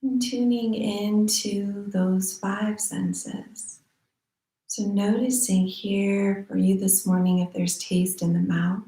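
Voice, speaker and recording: A woman teaching guided meditation in a soothing, unhurried manner with long pauses. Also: medium pitch, steady tone, mellow. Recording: roomy echo.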